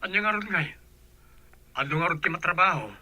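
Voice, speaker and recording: An actor playing an evasive, irritable husband in a radio drama, speaking defensively and wearily. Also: male voice, high voice, a little fast, pushed and bright, slightly rough, no echo, full character voice. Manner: defensive, weary. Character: evasive, irritable working husband, dismissive and weary.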